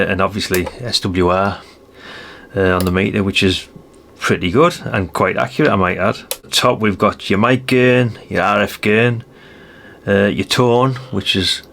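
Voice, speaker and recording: A man's voice: fairly low pitch, creaky, fairly bright tone. A British man giving a casual hands-on showcase as a video host, chatty and rambling with frequent tag questions. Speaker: host of a radio hobby channel.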